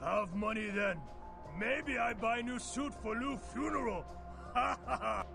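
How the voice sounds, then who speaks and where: A man's voice: very high voice, unhurried, gravelly, over music, exaggerated voice. An actor voicing a gleeful, vengeful old man in a video game, in broken English. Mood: cheerful wheedling. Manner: broken English, crowing and cackling. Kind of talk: video game character acting.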